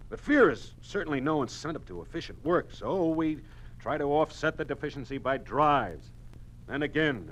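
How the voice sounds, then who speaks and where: An actor playing a distraught middle-aged American husband and plant manager in a 1950s radio drama, agitated and halting. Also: male voice, a little high, a bit bright, poor audio. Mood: exasperated, anxious. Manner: halting, agitated delivery, repeating words.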